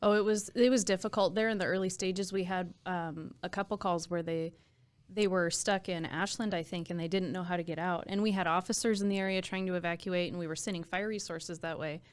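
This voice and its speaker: Clear-voiced American woman, slightly low. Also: fairly even intonation, fairly quick. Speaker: emergency dispatch supervisor and trainer, podcast guest. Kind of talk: casual interview storytelling.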